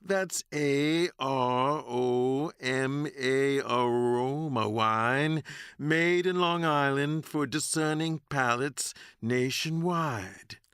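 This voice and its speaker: An actor as a slow, suave sponsor announcer. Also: male voice, medium pitch, fairly bright tone, smooth, dry recording, studio quality. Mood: suave, inviting. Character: genteel, urbane male wine-sponsor announcer. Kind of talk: scripted radio sponsor advertisement.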